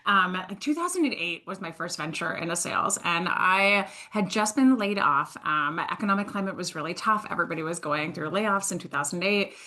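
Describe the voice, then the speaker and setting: A woman in her thirties, originally from Switzerland, chatting as a podcast guest in a slightly low voice. She tells her story in an animated, self-deprecating way, moving quickly between upbeat highs and quieter asides. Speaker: guest, sales entrepreneur. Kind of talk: casual podcast interview.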